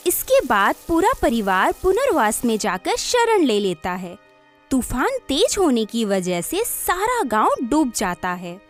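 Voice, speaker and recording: Woman narrating a story, lively intonation. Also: on the high side, a little slow, faint music behind.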